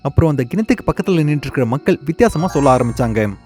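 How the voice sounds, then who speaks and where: A man narrating a scripted cartoon horror story with dramatic, measured delivery. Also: a little high, expressive, a little mellow, soft background music.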